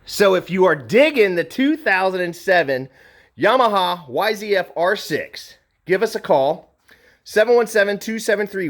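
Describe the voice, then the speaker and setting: A man giving a conversational, enthusiastic, unscripted sales walkaround as a motorcycle dealer. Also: a little high. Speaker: motorcycle dealer presenting a bike for sale.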